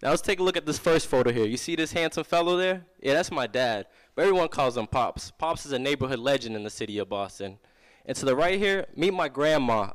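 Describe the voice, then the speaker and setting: A young man giving a live startup pitch, projecting energetically as he tells a family story. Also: rough recording. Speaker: student startup founder pitching. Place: venture lab event room.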